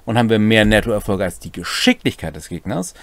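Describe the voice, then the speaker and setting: Guy in his 40s walking thru rpg rules, pitch moves around a lot, dry close sound. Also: big pitch swings, fairly quick, a bit bright, no room sound. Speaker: game master and co-host explaining rulebook. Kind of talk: conversational rules explanation. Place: small home room, indoors.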